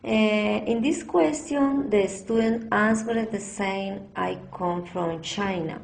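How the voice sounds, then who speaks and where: Woman, English, very slow, gravelly fry, halting class presentation. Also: medium pitch. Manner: halting, careful delivery with ESL grammar slips. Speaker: English student. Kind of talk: read-aloud class presentation.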